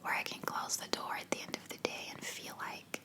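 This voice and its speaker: Softly whispering woman, American accent. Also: fairly quick. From Belgium. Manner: soft unvoiced whisper, rambling with fillers. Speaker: ASMR creator. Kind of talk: whispered ASMR Q&A. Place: home living room. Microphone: sensitive ASMR microphone.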